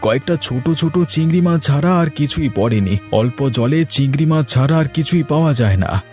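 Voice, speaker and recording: A man's voice: on the high side, lively intonation, moderate pace, a little mellow, faint music behind, natural voice. A man playing a poor, downhearted fisherman in a cartoon, lamenting plaintively. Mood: dejected. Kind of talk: cartoon dialogue acting.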